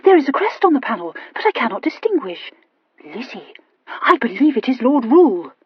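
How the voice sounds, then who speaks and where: A woman voicing an excitable, scolding young woman who exclaims and reproaches. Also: high voice, moderate pace, no echo, highs cut off, pitched above the performer's own voice. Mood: indignant.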